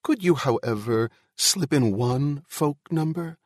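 A man's voice: a little high, slow pace, no room sound, clean studio sound, pitched above the performer's own voice. A man voicing a genial, rotund male government official in an audiobook, bubbly and officious.

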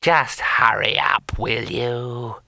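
A man's voice: medium pitch, steady tone, drawn-out words, vocal fry, sharp tone, no echo, studio quality, heavily acted. A man voicing the impatient ghost of an old dead dragon lord in an audiobook, thin, snappish and bossy. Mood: irritable. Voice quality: thin, disembodied.

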